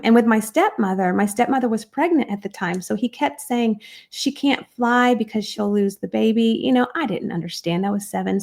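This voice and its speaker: Woman, podcast guest, gravelly voice, earnest storytelling. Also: medium pitch, a little mellow. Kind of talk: telling her life story in an interview.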